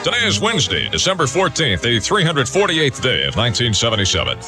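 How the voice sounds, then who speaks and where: Male morning DJ, joking patter, lively swooping delivery, bright tone, music under him. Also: mid-range voice. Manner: joking, quick one-liners with chuckles. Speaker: radio DJ. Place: radio studio. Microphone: studio broadcast mic.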